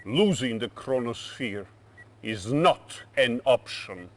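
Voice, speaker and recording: An actor playing a menacing, imperious Soviet dictator with a Russian accent and a commanding, theatrical delivery in a video game cutscene. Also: male voice, medium pitch, expressive, slow pace. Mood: cold resolve.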